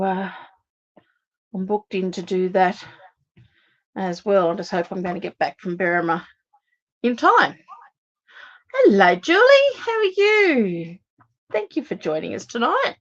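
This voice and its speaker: A woman's voice: mid-range voice, muffled recording. An Australian woman hosting a live card-making demonstration, chatting and thinking aloud to viewers as she works, her voice a little worn. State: slightly losing her voice. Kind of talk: casual live crafting demonstration.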